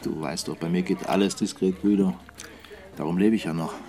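An actor playing a wry, well-informed journalist friend in a German radio drama, conversational and musing. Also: male voice, low voice, fairly even intonation, fairly quick, warm tone. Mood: wry, friendly.

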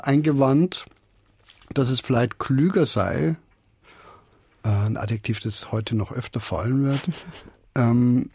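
Male director as interview guest: rough-edged husky voice, hesitant conversational delivery, dry acoustic. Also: medium pitch, darkish tone, dry recording.

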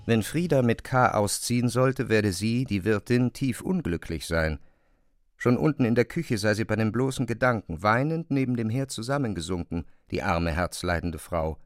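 A man narrating a literary classic as scripted audio drama, in a measured, precise manner. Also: on the low side, no echo, clean studio sound.

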